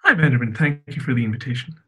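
A man's voice: medium pitch, animated, very fast, pushed and bright, breathy edge. A man in his twenties from Austria, explaining things articulately and at length as a podcast guest, with a light joking touch. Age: 20s. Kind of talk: casual discussion.

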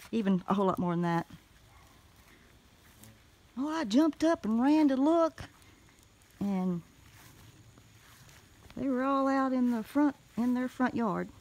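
A woman with a Southern US accent telling a story casually in a folksy, animated way, recorded on a handheld phone outdoors. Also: a little high, slow pace, long pauses. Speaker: homestead vlogger. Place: outdoors in a wooded rural yard.

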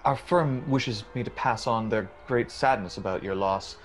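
A man's voice: lowish voice, normal speed, close to an everyday voice. A man playing an apologetic company messenger in a radio drama, formal and hesitant. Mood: uneasy, solemn. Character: apologetic, uneasy company messenger bearing bad news.

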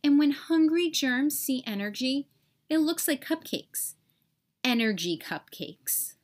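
High, animated woman reading to children. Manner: animated, deliberate, dramatic emphasis. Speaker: teacher reading a storybook aloud. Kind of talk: expressive children's read-aloud.

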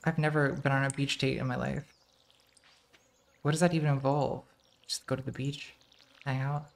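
Soft-voiced woman streamer chatting. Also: extremely low pitch, little pitch movement, normal speed, vocal fry. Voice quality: very soft, quiet voice. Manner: chatty, self-deprecating, reads chat and laughs. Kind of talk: casual live-stream chat while playing a game. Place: home streaming room, indoors.

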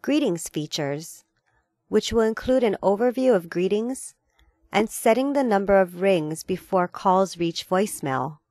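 Woman, scripted tutorial narration, mid-range pitch, formal, measured delivery, dry close recording. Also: animated, darkish tone, no room sound.

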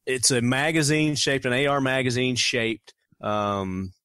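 A man hosting a casual podcast interview, relaxed and chuckling as he explains things. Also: mid-range voice, measured pace, clear, resonant tone, dry recording. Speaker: podcast host.

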